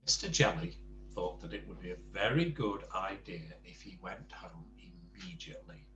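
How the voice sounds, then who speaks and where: An older man reading a bedtime story to grandchildren, expressive, with chatty asides and questions to the young listener. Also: deep voice, moderate pace, highs cut off, a little hiss or hum.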